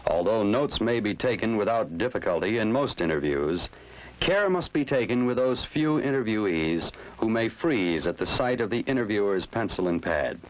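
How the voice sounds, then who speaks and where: A man's voice: on the low side, animated, moderate pace, rough recording. A man with an American accent reading scripted instructional narration in a formal, didactic manner. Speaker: training film narrator.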